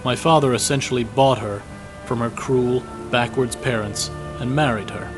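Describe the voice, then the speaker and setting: A man playing a weary, guilt-ridden young imperial soldier in a fantasy audio drama, reading his journal in a measured, reflective way over music. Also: medium pitch, fairly even intonation, close to an everyday voice. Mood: solemn.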